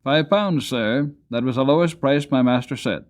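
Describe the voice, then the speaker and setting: A man's voice: medium pitch, normal speed, pitched above the performer's own voice, natural voice. A man voicing a wheedling, folksy working-class horse seller with emphatic sales patter, in a dramatic audiobook reading. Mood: deferential.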